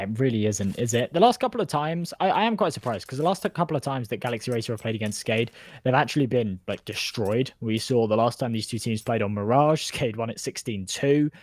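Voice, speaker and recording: A man's voice: medium pitch, fairly quick, no echo. Give me a casual British man analysing an esports match, recorded dry and close. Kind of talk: live color commentary.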